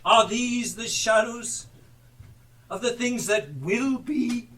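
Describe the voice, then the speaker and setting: An actor playing a frightened, repentant old miser on stage, with a halting, pleading delivery. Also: male voice, very high-pitched, fairly bright tone, full character voice. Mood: solemn dread. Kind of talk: theatrical live stage acting. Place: theatre stage. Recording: distant live stage recording.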